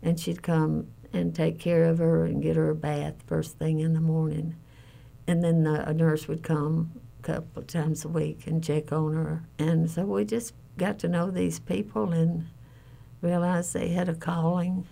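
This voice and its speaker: A middle-aged woman recalling family caregiving in an interview, speaking plainly, haltingly and earnestly. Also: low voice, level tone, vocal fry, mellow. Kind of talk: personal interview recollection.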